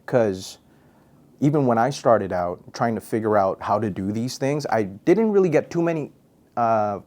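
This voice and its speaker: Young man from Guam, radio host and MC, relaxed and playful, casual interview tone. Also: lowish voice, moderate pace. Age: young adult. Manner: goofy, laid-back, chatty with fillers. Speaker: radio personality and MC, interview guest.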